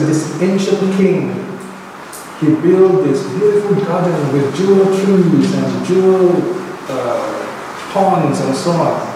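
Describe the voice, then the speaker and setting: Older man giving a dharma talk, echoey hall. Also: fairly high pitch. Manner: conversational, checks in with okay and right. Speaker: Buddhist teacher. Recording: echoey hall, distant mic, noisy.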